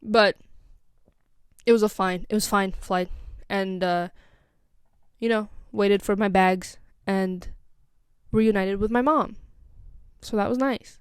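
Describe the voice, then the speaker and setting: A woman's voice: medium pitch, fairly even intonation, slow pace, pauses often, smooth, no echo. A young woman with an American accent telling a casual, rambling story as a vlogger, full of "like" and "um". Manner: rambling, scatterbrained, lots of "like" and "um".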